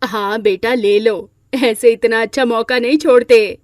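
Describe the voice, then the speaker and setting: A woman's voice: fairly high pitch, fairly even intonation, a little slow, a little mellow, no room sound, clean studio sound, close to an everyday voice. A woman voicing a kindly middle-aged mother-in-law in a moral story, with a motherly way of talking. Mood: affectionate. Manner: affectionate, motherly. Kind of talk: Hindi moral-story character acting.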